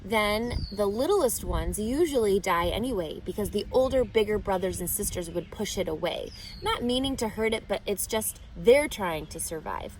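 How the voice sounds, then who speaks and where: A woman reading a children's novel aloud with expressive, animated narration. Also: mid-range voice, moderate pace, hardly any pauses, slight background noise, low-quality recording. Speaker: reader. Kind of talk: storybook read-aloud.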